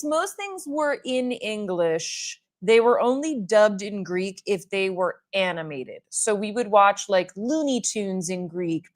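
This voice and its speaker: A woman in her thirties hosting a beauty livestream at home. She chats casually, reads out viewer comments and rambles with lots of "like" fillers. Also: mid-range voice. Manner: chatty and rambling, with frequent "like" fillers. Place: at home doing makeup in front of a mirror.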